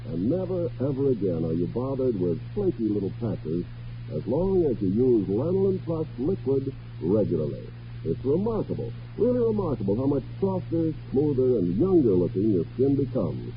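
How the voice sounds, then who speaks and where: An American man announcing on 1950s radio, giving a folksy, emphatic sponsor pitch. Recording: dull vintage radio recording with music.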